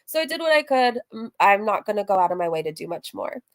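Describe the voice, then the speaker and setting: A woman's voice: medium pitch, very animated, quick pace. Chatty, gossipy woman livestreaming. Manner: chatty, gossipy, repeats phrases for emphasis. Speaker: online reaction channel host. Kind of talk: casual livestream commentary, reading out messages.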